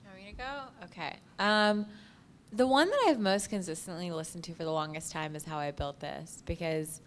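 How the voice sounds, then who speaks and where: Casual woman with a lowish voice. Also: moderate pace. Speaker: panelist. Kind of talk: casual panel talk.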